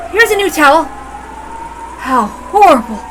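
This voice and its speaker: A woman's voice: high-pitched, sing-song, a little slow, a bit bright, a little hiss or hum, pitched above the performer's own voice, exaggerated voice, low-quality recording. A woman voicing a frantic, pleading older female servant in a dramatic story reading. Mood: panicked, pleading.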